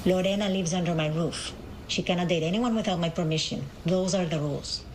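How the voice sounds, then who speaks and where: A woman's voice: on the low side, a little fast, a little hiss or hum, natural voice, rough recording. An actress playing a strict, protective middle-aged host mother, firm and dry. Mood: stern. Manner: firm, stern, dryly teasing.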